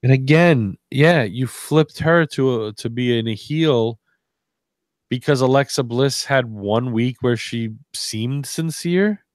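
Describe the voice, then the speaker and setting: A man's voice: medium pitch, takes it slowly, no room sound, clean studio sound. A man co-hosting a podcast in casual, sarcastic, rambling banter with plenty of swearing. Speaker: wrestling podcast co-host.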